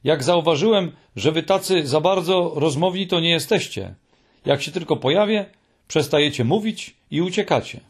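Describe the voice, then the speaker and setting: A man's voice: on the high side, lively intonation, normal speed, breathy edge, voice pushed up. A man voicing a cheerful, friendly, clueless male troll creature in an audiobook, with an eager, dreamy way of talking. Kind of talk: audiobook character voice.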